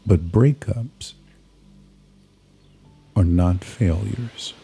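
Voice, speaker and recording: A man narrating a scripted guided meditation in a soothing, measured manner, leaving pauses between short phrases. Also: low voice, vocal fry, warm tone, slight background noise.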